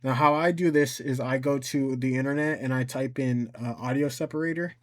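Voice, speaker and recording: A man giving an informal step-by-step software tutorial, thinking aloud and somewhat hesitant as he goes. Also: medium pitch, fairly even intonation, a little fast. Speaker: tutorial host.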